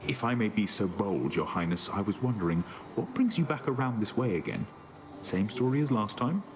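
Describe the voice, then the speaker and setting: Man as a lowish, amiable condemned soul. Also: animated, a little fast, background noise, lightly acted. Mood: friendly. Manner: friendly, courteous, easygoing. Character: amiable, deferential condemned king, cheerfully chatty.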